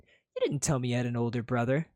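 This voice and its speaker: A man's voice: mid-range voice, big pitch swings, fast, a little mellow, no echo, studio quality, close to an everyday voice. A storyteller voicing a cheeky, boastful young male commoner hero who teases his rival. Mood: teasing.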